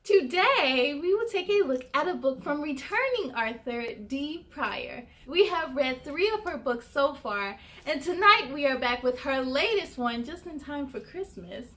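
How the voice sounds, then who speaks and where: A woman's voice: high-pitched, unhurried, few pauses. A woman hosting story time, reading a children's storybook expressively and talking straight to her young listeners. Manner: expressive, addresses young viewers directly. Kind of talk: children's storybook read-aloud.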